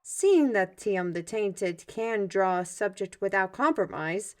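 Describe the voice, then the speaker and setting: A woman acts out a theatrical artist mage from a fantasy audio drama. She speaks in a mid-range voice with formal, old-world wording. Her delivery is expressive, like a mentor who tells tales, with sighs and small asides to herself. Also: mid-range voice, takes it slowly. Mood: storytelling. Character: lively, theatrical artist mage with a magic paintbrush, storytelling mentor. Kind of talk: fantasy audio roleplay, ASMR.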